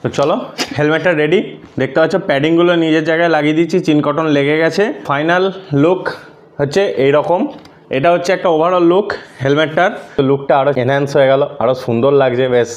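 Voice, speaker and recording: Man, rapid pace, somewhat high voice, casual tutorial narration, English words mixed in. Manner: explanatory, mixes English words into speech. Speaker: host of a motorcycle gear tutorial.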